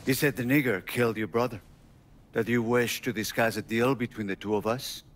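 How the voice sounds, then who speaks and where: An actor playing a proud, menacing drug dealer who speaks formally and deliberately in a video game drama. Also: male voice, medium pitch, steady tone, a little hiss or hum, natural voice. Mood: polite, guarded.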